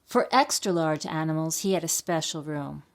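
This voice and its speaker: A woman's voice: sits low, expressive, moderate pace. A woman reading a picture book for a library storytime, explaining and asking questions as if to young children.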